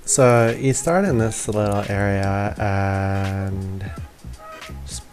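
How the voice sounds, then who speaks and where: Male gamer, casual walkthrough narration. Lowish, unhurried, apologetic delivery over faint music. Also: steady tone, darkish tone. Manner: informal, apologetic, self-deprecating. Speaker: gaming video creator. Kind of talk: casual game walkthrough commentary.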